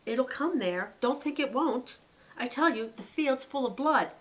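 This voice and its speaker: A woman's voice: sits high, animated, measured pace, a bit bright, pitched above the performer's own voice, rough recording. A woman voicing a timid young male rabbit who is anxious and whimpers with fear. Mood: pleading. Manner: trembling, whimpering, breathless alarm.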